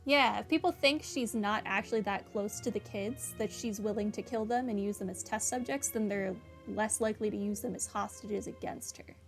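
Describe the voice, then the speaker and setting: A woman's voice: medium pitch, moderate pace, few pauses, faint music behind. A woman doing casual playthrough commentary, musing aloud and reading dialogue as she goes. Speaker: gamer commentator.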